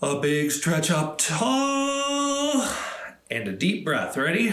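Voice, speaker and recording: A man's voice: high-pitched, sing-song, slow, a bit bright, echoey room. A man hosting a storytime for children, talking simply and encouragingly as he leads activities and tells stories. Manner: encouraging, simple instructions addressed to young children. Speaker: library storytime host.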